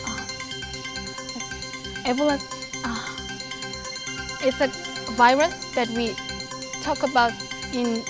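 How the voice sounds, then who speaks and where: A woman contestant giving quick clues in a speed quiz, in halting, simple English. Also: on the high side, steady tone. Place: TV studio. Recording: loud music under the voice.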